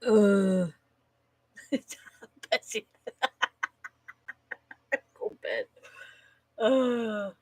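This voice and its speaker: A woman's voice: medium pitch, darkish tone, no echo, rough recording. A woman with a slightly nasal voice from a cold, casually live-streaming. She tells corny jokes, giggles at them and swears now and then. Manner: giggles at her own jokes, swears casually, groans at bad puns.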